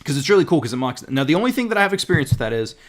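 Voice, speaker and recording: Relaxed male podcast host. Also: on the high side, very fast. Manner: relaxed, conversational, reads listener questions. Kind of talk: casual chat.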